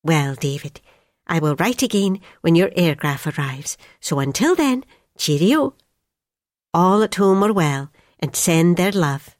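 Audio drama: woman to play homely, affectionate mother voicing a letter, gently low register, close dry recording. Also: animated, a little slow, no echo. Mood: chatty, fond. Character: proud, chatty, affectionate mother writing to her airman son. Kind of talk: read-aloud wartime family letter.

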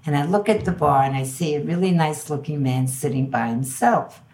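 Older New York woman, low voice. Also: brisk. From Belgium. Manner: animated storyteller, acts out quoted dialogue. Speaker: guest. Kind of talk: storytelling interview.

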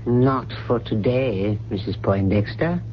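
A faithful household butler in a 1970s radio mystery. He speaks formally and discreetly in a medium-pitched voice. The recording is dull with faint hum. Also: lively intonation, slow. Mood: deferential, gentle. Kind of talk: 1970s radio drama acting. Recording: dull, noisy, humming old radio recording.

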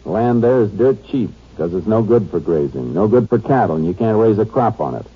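A man's voice: on the low side, expressive, brisk, breathy edge, dull audio. A man playing a shrewd, terse Texas Ranger investigator in an old-time radio western crime drama, on a noisy old recording. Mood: firm, reasoning.

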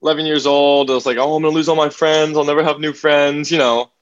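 A man's voice: a little high, flat delivery, fast talker. A young man in his twenties, a singer who is a podcast guest, chatting casually with lots of "like" and "um". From New Jersey, raised in Hawaii. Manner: rambling, many "like" and "um" fillers. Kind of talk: casual interview chat. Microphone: video call. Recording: dull video call audio.

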